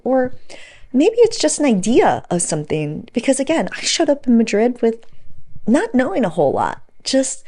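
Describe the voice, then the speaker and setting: A woman's voice: a little high, a little mellow. A woman of forty hosting a podcast, talking informally and emphatically to her audience as she tells personal stories. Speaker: podcast host. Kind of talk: conversational solo monologue to audience, storytelling.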